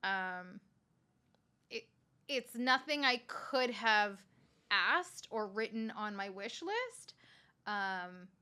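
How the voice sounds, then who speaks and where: A woman in her forties, raised in Canada with a Calabrese dialect as her first language, chatting as a guest chef in an animated, laughing way. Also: mid-range voice, extremely slow, long pauses, clean tone. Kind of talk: casual podcast interview. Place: hotel room.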